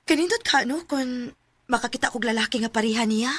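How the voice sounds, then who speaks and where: A woman playing an affectionate, trusting young student and younger sister in a radio drama, with gentle, expressive delivery. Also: a little high, a little fast, very noisy, natural voice. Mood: curious, friendly.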